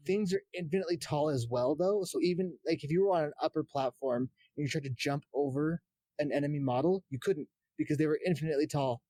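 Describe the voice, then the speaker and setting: A man's voice: fairly high pitch, quick pace, warm tone, dry recording. A man on a podcast panel, chatting casually and explaining things like an enthusiast.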